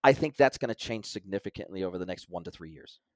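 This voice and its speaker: A man's voice: sits low, lively intonation, fast talker, no echo, studio quality. A man as an interview guest, explaining technical ideas at length in casual conversation, often restarting his sentences. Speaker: guest expert.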